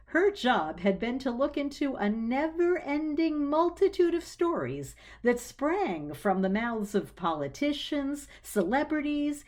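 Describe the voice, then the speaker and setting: A woman narrates a scripted story with expressive delivery. Her voice sits a little high and moves through lively rises and falls.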